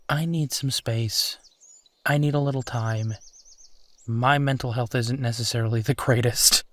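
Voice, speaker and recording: A man's voice: mid-range voice, lightly acted. A man playing a supportive, affectionate husband in an intimate comfort roleplay, talking in a reassuring, conversational way. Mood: gentle, supportive. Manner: reassuring, conversational, unhurried pauses. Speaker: audio roleplay performer.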